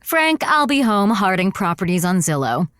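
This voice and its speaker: An actress playing a flattering, pampered pregnant rich girlfriend in an audio comedy, sweetly manipulative and affected. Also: mid-range voice, moderate pace, clean tone, no room sound, clean studio sound. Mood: sweetly pushy. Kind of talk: audio comedy drama acting.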